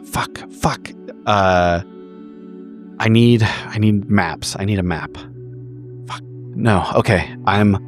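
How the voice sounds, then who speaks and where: A man playing a tabletop roleplaying game, improvising in character with halting, repetitive, swear-laden phrasing. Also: lowish voice. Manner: halting, repetitive, profanity-laced speech. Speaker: tabletop roleplay podcast player.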